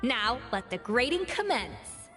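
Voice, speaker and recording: A proud, exacting young female chef in a game. Her fairly high voice is formal and precise, with a haughty, blunt edge. It carries a long reverb, with music underneath. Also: very animated, unhurried, sharp tone, heavily acted. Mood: commanding. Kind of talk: video game character dialogue.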